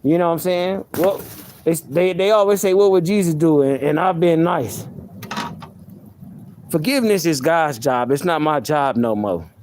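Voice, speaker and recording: Soft-voiced man venting, high pitch. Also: moderate pace, a little hiss or hum. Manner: heated, profane, repeating himself. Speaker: livestreamer. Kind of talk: venting on a live stream.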